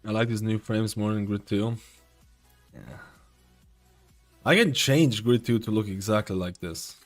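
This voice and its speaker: A man's voice: fairly low pitch, fairly even intonation, a little slow, faint music behind. A man gives casual, opinionated live gaming commentary as a streamer, swearing offhandedly. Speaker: game streamer.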